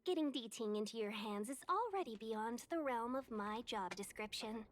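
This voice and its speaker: A woman's voice: a little high, animated, moderate pace, natural voice. A woman playing a polite, cautious fox-woman civil servant in a video game, with an articulate, genteel manner. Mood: gently pleading. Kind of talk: scripted game voice acting.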